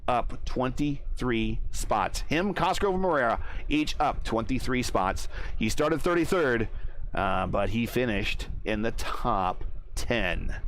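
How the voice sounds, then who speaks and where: A man's voice: mid-range voice, lively intonation, fairly bright tone, a little hiss or hum. A man hosting a race broadcast, talking loosely with lots of fillers and restarts. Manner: halting, full of fillers. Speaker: broadcast host.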